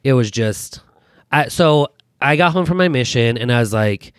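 American dad telling stories, dry close sound. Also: male voice, medium pitch, normal speed, no room sound. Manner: animated, self-deprecating joking with acted-out quotes. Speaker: podcast guest, a father. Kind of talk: casual chat, personal storytelling.

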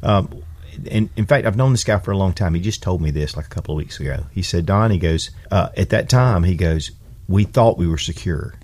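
A man's voice: low voice, fairly quick, a little mellow. A man in casual conversation, earnestly retelling a friend's story and quoting him with emphasis.

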